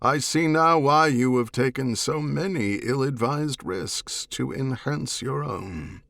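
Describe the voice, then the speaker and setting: Man voicing a haughty, measured alien oath warden. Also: mid-range voice, gravelly, a bit bright, no echo, clean studio sound. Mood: condescending. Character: gaunt stooped alien oath warden, condescending, formal. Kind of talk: audiobook character dialogue.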